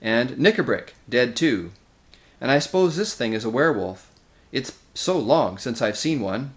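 A man voicing a courteous, steady boy High King with a composed, decisive manner in a storybook. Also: normal speed, lightly acted.

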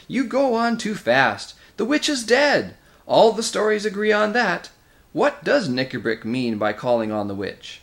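A man's voice: high-pitched, big pitch swings, measured pace, vocal fry, fairly bright tone, pitched above the performer's own voice, full character voice. A man voicing an agitated learned male doctor, urgent and insistent, in a storybook reading.

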